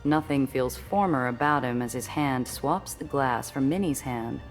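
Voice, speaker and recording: A woman's voice: rumbling low, measured pace, soft background music. A woman voicing a cool, observant heroine, narrating her own story in a scripted, wry way for a video game. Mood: composed. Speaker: narrator and protagonist.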